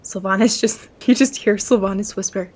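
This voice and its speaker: A woman's voice: medium pitch, steady tone, moderate pace, a little mellow. A woman playing a tabletop roleplaying game, describing her character's actions in the third person. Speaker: player.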